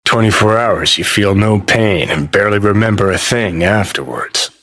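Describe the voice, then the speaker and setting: An actor voicing a cynical, abrasive dead rock rebel in a video game, with an American accent and a sardonic, profane, contemptuous drawl. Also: male voice, lowish voice, fairly bright tone. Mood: wry, cynical.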